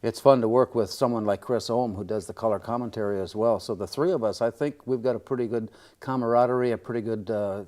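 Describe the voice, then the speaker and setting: Low-pitched older man. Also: dark tone. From Minnesota, USA. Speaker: radio play-by-play announcer, interview guest. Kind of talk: reminiscing in interview.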